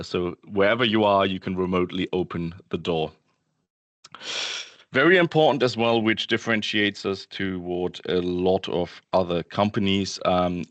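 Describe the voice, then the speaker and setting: Male company presenter, low register, dry sound, hesitant webinar delivery peppered with fillers. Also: a bit bright, no room sound. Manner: halting, hesitant delivery with many ums and uhs. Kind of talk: informal product presentation. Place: indoors, Berlin office.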